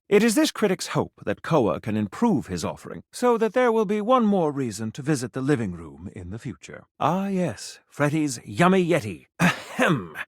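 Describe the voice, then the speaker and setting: A man's voice: on the high side, dry recording, studio quality. A man voicing a pompous, flirtatious gourmet food critic for a video game, with florid, formal, dramatic delivery. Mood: disappointed, haughty. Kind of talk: theatrical video game voice acting.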